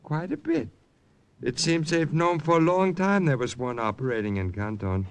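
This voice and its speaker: A man's voice: a little high, very animated, normal speed. An actor playing a smug, sly younger man, an informer with a falsely casual, needling manner, in old-time radio drama. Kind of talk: 1940s-50s radio drama acting.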